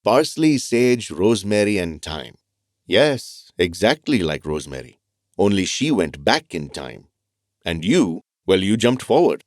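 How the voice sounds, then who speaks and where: A man's voice: deep voice, big pitch swings, unhurried, no echo, clean studio sound. An actor playing a kindly, reassuring male doctor and mentor in an audio drama. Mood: warm, reassuring.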